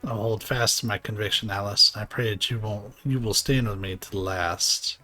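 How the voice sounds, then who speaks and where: A man's voice: fairly low pitch, steady tone, a little fast, pushed and bright. An actor playing an earnest young male warrior in a video game, speaking in a heartfelt, resolute way.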